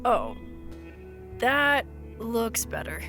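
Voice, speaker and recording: A woman playing a blunt, easily bored agent with a terse, deadpan manner in an audio drama. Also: fairly high pitch, very slow, soft background music, full character voice. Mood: frustrated, focused. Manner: terse, deadpan, casually profane. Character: blunt, sardonic, easily bored female agent relearning to write. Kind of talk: naturalistic audio drama dialogue.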